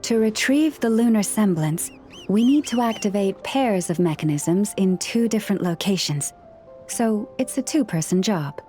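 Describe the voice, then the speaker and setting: A composed young woman guide, voiced by an actress in a game cutscene, speaking in a calm, reassuring way. Her voice sits at an ordinary middle pitch. Soft game music runs faintly underneath. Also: normal speed, lightly acted. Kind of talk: video game cutscene dialogue.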